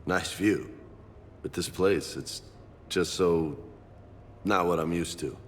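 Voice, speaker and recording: A deep, slow-talking laid-back soldier. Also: male voice, long reverb tail, slight background noise. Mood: teasing, wistful. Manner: casual, unhurried. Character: laid-back young male soldier, teasing, homesick beach boy. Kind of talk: video game dialogue acting.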